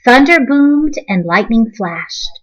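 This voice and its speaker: A woman reading a children's story aloud in an expressive, deliberate storytelling manner. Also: a little high, dull audio. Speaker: storybook reader.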